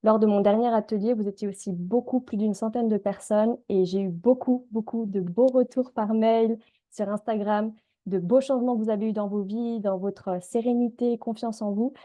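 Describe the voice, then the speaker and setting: Gentle, mellow-voiced woman. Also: mid-range voice, normal speed. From Switzerland. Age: 30s. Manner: gentle, calm, with frequent hesitations. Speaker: energy healer and mindset coach. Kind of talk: live group healing session and guided prayer.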